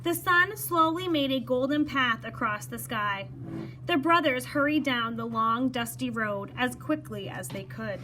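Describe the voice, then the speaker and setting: A woman reading a children's story aloud in an animated way, acting out dialogue and pausing to explain words. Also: high-pitched, background noise. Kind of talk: expressive read-aloud with brief explanations for children.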